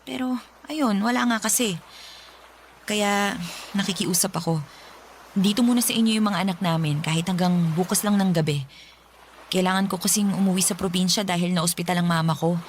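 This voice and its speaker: A woman's voice: on the low side, fairly even intonation, a little fast, long pauses, a little hiss or hum, lightly acted. A woman with a Filipino accent acting an apologetic but persistent mother in a radio drama, pleading and explaining herself.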